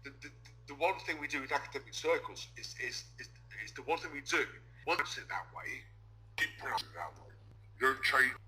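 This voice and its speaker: A man in a casual discussion who speaks like an academic, in a halting, jokey way and stumbling over his words. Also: medium pitch, lively intonation, bright, forceful tone, a little hiss or hum, low-quality recording.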